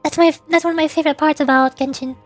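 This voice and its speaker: A chatty, playful woman talks to her viewers while she plays a game, in a high, bubbly voice. She speaks into a desk microphone, and faint music plays underneath. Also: steady tone, rapid-fire. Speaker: VTuber streamer. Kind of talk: casual live-stream gameplay chat.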